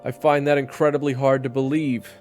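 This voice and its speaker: A man's voice: medium pitch, little pitch movement, a little fast, darkish tone, faint music behind, voice pushed up, lightly acted. A man playing a wary, sardonic gunslinger who talks in a bold, casual, challenging way in an audio roleplay. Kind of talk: audio roleplay drama acting.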